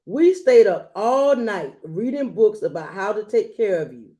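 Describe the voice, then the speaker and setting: Woman, mellow tone, slow pace, gentle picture-book read-aloud, expressive, chatty asides to listeners. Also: medium pitch. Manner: gentle, unhurried, adding commentary to listeners.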